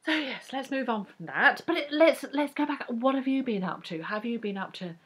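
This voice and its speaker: A woman's voice: high voice, animated, normal speed, husky. A young English woman chatting to camera as a book vlogger, rambling with fillers and restarts. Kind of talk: casual chat to camera.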